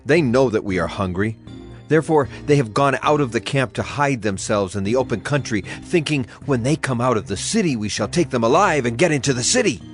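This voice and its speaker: A man's voice: medium pitch, lively intonation, brisk, soft background music, close to an everyday voice. Man acting a grim, suspicious king. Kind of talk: dramatized audio Bible acting.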